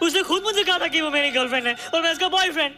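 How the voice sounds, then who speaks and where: A high-voiced, childlike grown son. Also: male voice, racing, music in the background. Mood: upset, insistent. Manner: childlike, plaintive. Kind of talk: Bollywood film dialogue.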